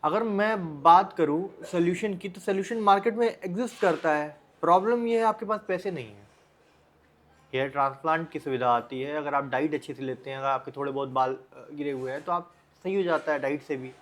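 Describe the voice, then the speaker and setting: Indian guy w a pretty high voice doing blunt motivational advice vlog, talks right at you, repeats himself. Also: brisk. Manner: blunt, direct address with rhetorical questions and repeated phrases. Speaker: advice vlogger. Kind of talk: informal motivational advice talk to the viewer.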